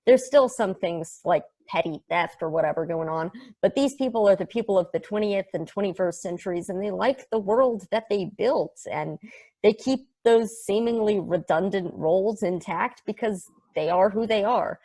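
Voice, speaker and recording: Space probe, female actor, medium pitch, chatty, blunt, casual and profane explainer for an amateur table read. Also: normal speed, mellow, lightly acted. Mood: brisk, exasperated. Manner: casual, profane, rattles through a numbered list.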